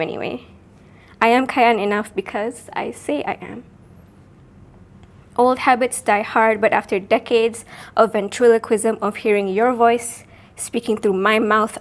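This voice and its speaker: A woman from Sarawak, Malaysia, recites her own poem as a spoken word piece. Her voice sits slightly high, and she lets each line land before she moves on. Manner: measured, deliberate, with pauses between phrases. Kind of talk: spoken word poetry performance.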